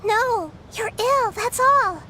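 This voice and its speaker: A woman voicing a small, wise child goddess in a video game, gentle, earnest and composed. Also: very high-pitched, lively intonation, slow pace, very noisy, heavily acted. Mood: pleading.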